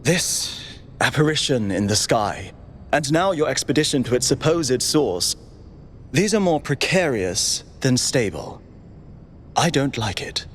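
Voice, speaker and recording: An actor playing a cautious, overworked android chief aide in a video game, with a measured, dutiful, disapproving manner. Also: male voice, mid-range voice, sing-song, fairly bright tone, a little hiss or hum. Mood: weary, disapproving.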